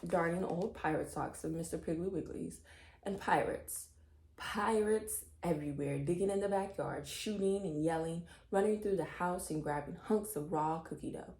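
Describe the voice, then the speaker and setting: A woman narrating a children's chapter book in an expressive read-aloud style. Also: lowish voice, normal speed, roomy sound. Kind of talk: expressive scripted story reading.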